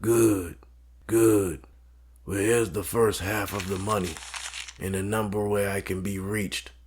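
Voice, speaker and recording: A man playing a smooth, menacing mob fixer in a crime audio drama, speaking in a measured, formal, coolly threatening way. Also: lowish voice, steady tone, slight background noise. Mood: cool, controlled menace.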